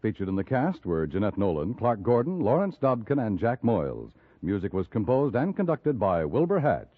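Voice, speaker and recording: Animated American radio announcer, muffled old recording. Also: male voice, fairly low pitch, moderate pace. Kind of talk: 1950s network radio announcing. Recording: muffled 1950s radio recording, loud music.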